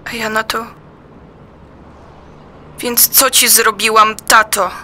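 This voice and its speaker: A woman giving a dramatic poetry recitation in a plaintive, reproachful and deliberate manner. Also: a little high, little pitch movement, bright, forceful tone. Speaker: performer in an audio play. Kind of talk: dramatic recitation of a personal poem.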